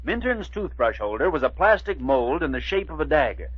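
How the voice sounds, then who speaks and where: A husky, shrewd radio police chief. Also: male voice, fairly high pitch, very animated, a little fast, no room sound. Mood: explanatory. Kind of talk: 1940s radio acting. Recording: dull, muffled old-time radio recording.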